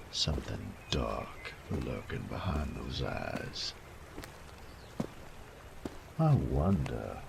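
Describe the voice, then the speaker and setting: A male actor voices a sadistic bandit with a gravel-thick, bottom-of-the-range voice. He drawls slowly in coarse slang, teasing and menacing by turns. It is an audio roleplay drama, with noticeable ambient noise behind him. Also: heavily acted. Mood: intrigued, sinister. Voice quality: gravelly, creaky low voice.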